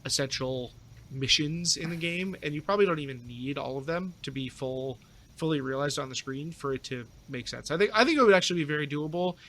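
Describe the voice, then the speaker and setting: A man's voice: on the high side, a little fast, fairly bright tone. A man chatting casually as a podcast guest, speaking in an opinionated, emphatic way. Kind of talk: casual chat.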